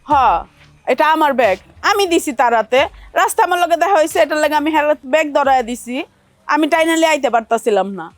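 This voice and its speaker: A woman's voice: high voice, animated, quick pace, dry recording, faint music behind. An actress playing a haughty city woman who scolds and sneers at her rural relatives. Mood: dismissive. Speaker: actress in a family drama.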